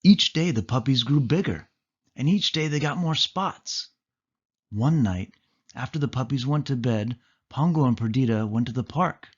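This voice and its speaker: A man's voice: mid-range voice, expressive, normal speed, husky. Man narrating a children's story, muffled audio. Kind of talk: expressive children's read-aloud narration.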